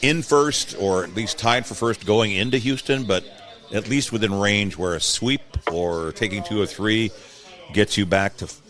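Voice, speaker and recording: A man talking casually about sports as an interview guest, with a measured, halting delivery. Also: fairly low pitch, a bit bright. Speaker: guest, PA announcer and post-game radio host.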